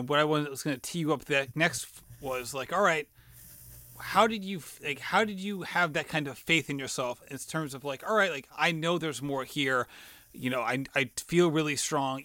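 A male podcast host asks enthusiastic, meandering questions packed with "like"s. His voice is fairly high and bright. It is recorded close and dry. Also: no room sound. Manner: enthusiastic, rambling questions with many "like"s. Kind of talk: casual podcast interview.

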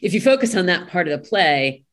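A woman giving a thoughtful interview as a guest, searching for her words in a halting way. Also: fairly low pitch, very animated. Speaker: interview guest, play translator.

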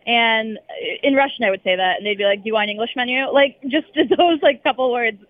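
A woman's voice: on the high side, fairly quick, no room sound. A young woman, a journalist, answering interview questions in a hesitant, rambling way with lots of hedging. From United States. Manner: hesitant and rambling, full of hedges. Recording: tinny phone-line sound.

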